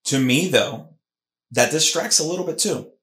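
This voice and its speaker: Animated man hosting a podcast, echoey room. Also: a little high, moderate pace. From Puerto Rican heritage. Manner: animated, emphatic, lots of restarts. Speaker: podcast host. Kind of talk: casual combat sports podcast chat. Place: Philadelphia podcast studio room. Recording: roomy echo.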